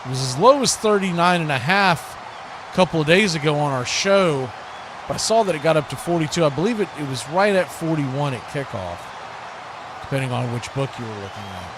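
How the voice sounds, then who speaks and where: A man's voice: fairly high pitch, fairly quick, a crowd in the background. An American man doing live football play-by-play, giving clipped present-tense calls mixed with chatty asides. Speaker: play-by-play sports commentator. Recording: clear steady background noise.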